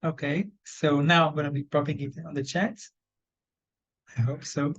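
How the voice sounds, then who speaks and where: A man with an Israeli accent and a fairly high voice runs an online job search training. He speaks loosely, full of ums and self-corrections. The video call audio is slightly dull. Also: level tone, normal speed. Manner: loose, conversational, lots of fillers and restarts. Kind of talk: live online training session.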